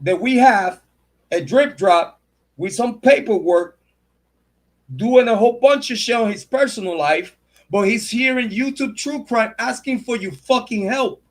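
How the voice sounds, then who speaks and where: A man with a fairly high voice hosting a true crime stream and tearing into a rival. He curses freely and repeats his lines for punch. Between jabs he stops to thank his viewers by name. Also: a little slow. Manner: heated, profane and repetitive, calling out a rival. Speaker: true crime channel host. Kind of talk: livestream commentary rant.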